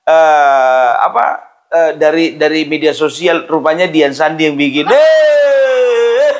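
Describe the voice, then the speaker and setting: A man's voice: on the high side, animated, takes it slowly. A man giving informal political commentary, scoffing and firing off mocking rhetorical questions.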